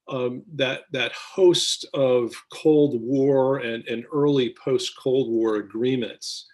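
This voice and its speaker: An American man with a mid-pitched, somewhat husky voice speaks as a policy analyst being interviewed. His delivery is measured and deliberate, breaking often for ums and short pauses as he lays out his commentary. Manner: halting, deliberate phrasing with frequent ums and pauses.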